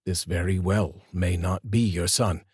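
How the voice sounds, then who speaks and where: A male storyteller voicing a calm, formal police detective who speaks in a measured, soft tone. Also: deep voice, breathy edge, no echo, clean studio sound, full character voice. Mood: somber.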